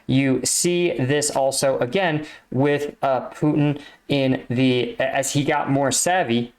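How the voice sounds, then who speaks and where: A man with a mid-pitched voice talks through his analysis as a video host. He speaks off the cuff in an explanatory way, pausing to find the right word and checking in as he goes. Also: fairly even intonation. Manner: analytical, halting, searches for words, checks in with "right?". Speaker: reaction video host, army combat veteran.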